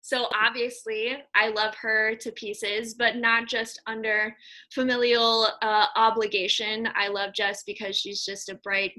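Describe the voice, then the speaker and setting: A woman's voice: medium pitch, bright, forceful tone, low-quality recording. An American woman hosting a podcast, introducing guests in an effusive, affectionate, informal and chatty way. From Nebraska, US. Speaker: podcast host. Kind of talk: casual conversational guest introductions.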